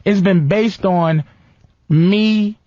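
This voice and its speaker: A man's voice: high voice, extremely slow, dark tone, no echo, muffled recording. An American man hosting a casual comedy radio talk show, blunt and profane, animatedly acting out what people say.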